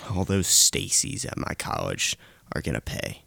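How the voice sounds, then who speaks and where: A man's voice: rumbling low, big pitch swings, measured pace, vocal fry, sharp tone, no room sound. A young man delivering a seething, hateful rant, often dropping into a hissed whisper.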